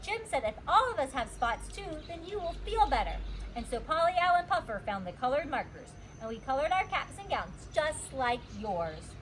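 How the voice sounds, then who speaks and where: A woman voicing an excited, proud little schoolgirl in a storybook, eager and showing off. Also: sits high, normal speed, slight background noise, pitched above the performer's own voice.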